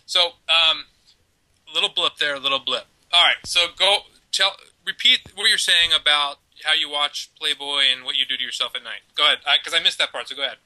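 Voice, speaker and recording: Bright rambling man on a video call. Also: a little high, brisk, poor audio. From Baltimore, US East Coast. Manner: rambling, joking, self-mocking. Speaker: podcast co-host. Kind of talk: casual parenting chat over video call. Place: home room, indoors. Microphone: headset on a video call.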